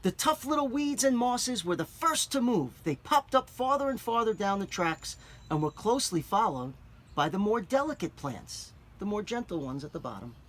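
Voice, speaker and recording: Man, children's read-aloud, gentle explainer, breathy, lively pitch. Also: moderate pace. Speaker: teacher reading aloud.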